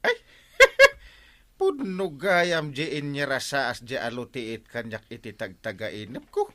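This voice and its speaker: A man's voice: mid-range voice, very animated, slow, sharp tone, no echo, studio quality, exaggerated voice. A man with an Ilocano accent playing a lazy, sleepy, comically unbothered village captain in a radio comedy drama, grumbling and exclaiming. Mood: lazy, defensive.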